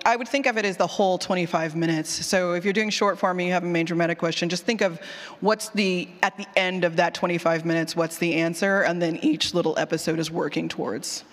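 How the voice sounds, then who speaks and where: A woman giving a casual, chatty workshop talk and taking questions, informal and self-deprecating, with a ready laugh. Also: fairly low pitch. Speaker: conference speaker on audio drama writing. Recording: echoey hall with long reverb.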